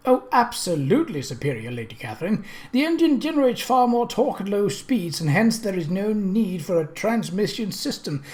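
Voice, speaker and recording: A narrator voicing a disdainful, earnest English gentleman motorist who lectures precisely, in a storybook reading. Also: mid-range voice, big pitch swings, moderate pace, hardly any pauses, pitched above the performer's own voice, natural voice. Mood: fervent. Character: tall thin English steam-car driver, disdainful and fervent.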